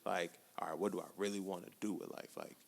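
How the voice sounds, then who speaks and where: A man in his twenties co-hosting a podcast, talking casually and reflectively in relaxed slang. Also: low-pitched, quick pace, vocal fry. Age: 20s. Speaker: podcast co-host. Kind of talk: casual chat. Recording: long hall reverb.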